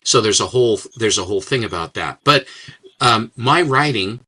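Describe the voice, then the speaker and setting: An older man talks about his past in a relaxed interview, often stopping and restarting his sentences. His voice sits low but sounds bright and forceful. He wanders from thought to thought in a casual, unhurried way. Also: breathy edge. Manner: rambling, halting, with many restarts and fillers. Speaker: guest, comics writer and collector.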